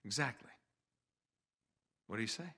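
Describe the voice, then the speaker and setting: A man's voice: fairly low pitch, moderate pace, sharp tone. An actor playing a cocky, stubborn treasure hunter in his thirties in a video game cutscene, with an American accent and a casual, wisecracking delivery. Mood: persuasive.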